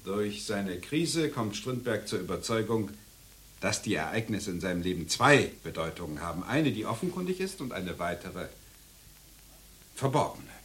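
An actor playing a deliberate, drily ironic literature seminar leader in a radio drama, speaking hesitantly with thoughtful pauses. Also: male voice, lowish voice, expressive, fairly bright tone. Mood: lecturing, deliberate. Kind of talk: radio drama dialogue, academic discussion.

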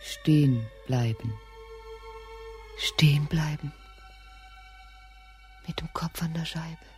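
An actress playing a lonely, anxious, bitter woman in a radio drama, whispering in halting fragments. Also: sits low, darkish tone, soft background music. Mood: bleak, brooding. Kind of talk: literary radio drama monologue and dialogue.

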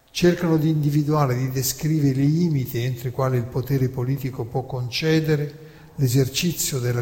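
A man's voice: mid-range voice, warm tone. Man narrating theatrically in a reverberant hall. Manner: rhetorical, deliberate, emphatic phrasing with dramatic pauses. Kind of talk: theatrical historical lecture monologue.

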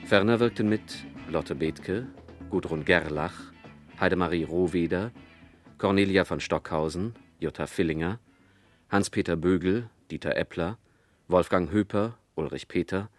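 A man reading credits as a radio announcer in a formal, measured 1970s broadcast style. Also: deep voice, no room sound. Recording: vintage radio broadcast over music. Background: music.

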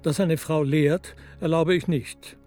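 A stern reader of scripture recites solemnly in a dark, mellow tone. The voice sits a little high, with faint music beneath, like a radio play laying down the law. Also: male voice, fairly even intonation, takes it slowly.

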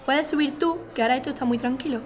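Woman playing a moody teen girl talking back, high voice, audio drama. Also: racing, natural voice. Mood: defiant. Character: sullen, defiant teenage daughter.

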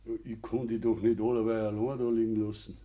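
An actor playing a proud, bitter old farmer in a radio play, speaking terse Bavarian dialect. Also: male voice, fairly low pitch, little pitch movement, a little fast, mellow, dull audio, lightly acted. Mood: low, troubled. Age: older. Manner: gruff, terse rural dialect speech. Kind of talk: naturalistic radio drama acting.

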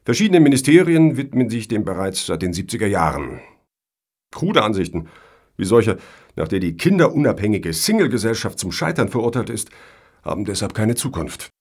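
A man's voice: lowish voice, sing-song, normal speed, darkish tone, slightly rough, exaggerated voice. A man playing a formally polite but pointed foundation official in a satirical radio play, with an officious way of talking. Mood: earnest, official.

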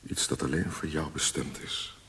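A young suitor in a radio play, with a deep voice. He is tender and romantic, coaxing softly like a poet in love. The old recording carries a light hiss. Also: steady tone, normal speed. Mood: loving, coaxing. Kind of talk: radio drama acting.

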